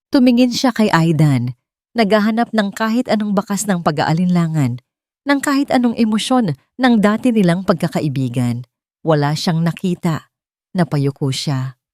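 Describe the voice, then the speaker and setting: A woman narrating a scripted romance story in a soft, measured storytelling manner. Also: sits low, dry recording, clean studio sound.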